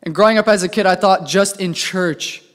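A young American man speaks from a church lectern, his voice raised a little in pitch and notably smooth and resonant. He preaches in an easygoing, personal way, full of filler words, and a long hall reverb trails after him. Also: steady tone, normal speed, a bit bright. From United States. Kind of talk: conversational sermon. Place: church sanctuary. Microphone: lectern microphone.

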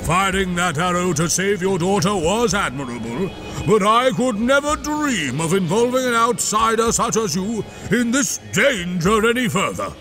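A man's voice: high voice, very animated, moderate pace, bright, forceful tone, heavily acted. A man playing a stern but courteous armoured soldier in a comic dub, speaking formally and with command. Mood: courteous, firm. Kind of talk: dramatic comic dub acting. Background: music.

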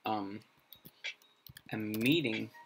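A young man whose first language is Danish, thinking aloud on a casual live stream, halting with many fillers and restarts, recorded in a small room. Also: fairly low pitch, noisy background. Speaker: streamer building a constructed language.

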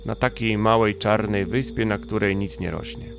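A man's voice: fairly low pitch, fairly even intonation, normal speed, gravelly, background noise. A man voicing a genial, unflappable troll father in a storybook, with a polite, reassuring manner.

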